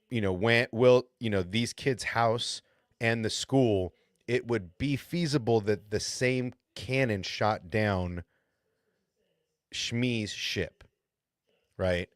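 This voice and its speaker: A man's voice: mid-range voice, dry recording, studio quality. A man with a lisp, hosting a podcast in casual, rambling chat and often checking in with "right?". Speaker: podcast host.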